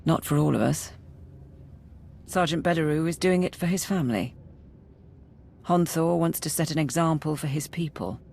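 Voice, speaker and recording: An actor playing a frank, dutiful military operations aide in a video game, clipped and businesslike, with a creaky voice. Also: male voice, on the high side, moderate pace, long pauses, darkish tone. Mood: resolute.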